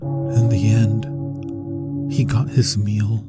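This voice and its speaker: Man playing a slow, haunted, anguished vampire. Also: on the low side, level tone, loud music behind the voice. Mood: horrified, grim. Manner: hushed, breathy phrase endings, deliberate dramatic pauses. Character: anguished male vampire, formerly human, confessing to lost love. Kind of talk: slow dramatic ASMR roleplay monologue.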